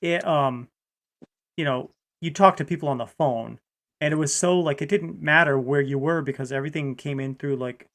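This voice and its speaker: Guy from rhode island hosting a casual stream, kinda high gravelly voice, rambles a bit. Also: normal speed, fairly bright tone. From Rhode Island, United States. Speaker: live show host. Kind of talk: casual livestream chat.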